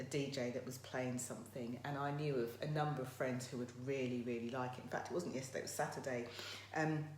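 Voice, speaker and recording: A woman with a croaky voice hosting a casual live motivational talk, chatting directly to her viewers. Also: low voice. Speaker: motivational livestream host. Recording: roomy echo, faint background noise.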